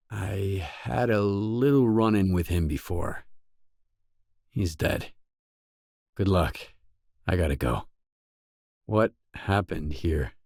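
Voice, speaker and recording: A male actor playing a weary, tough, guarded stalker mercenary in a video game, speaking in blunt, deadpan lines. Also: deep voice, dry recording, studio quality, exaggerated voice. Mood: curt, menacing. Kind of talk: video game voice acting, isolated dialogue lines.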